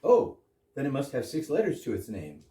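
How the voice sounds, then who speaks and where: A man's voice: medium pitch, huge pitch swings, moderate pace, warm tone, a little hiss or hum. A man giving a humorous prepared introduction to an audience, explaining things with dry wit. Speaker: guest introducer of the play. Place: indoor performance space with audience. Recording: echoey room, distant microphone.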